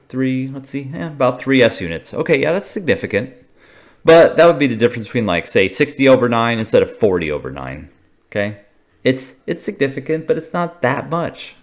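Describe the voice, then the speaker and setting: An American man giving an informal, unscripted technical explanation, thinking aloud haltingly and checking in with short tags. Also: lowish voice. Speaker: radio hobbyist explainer.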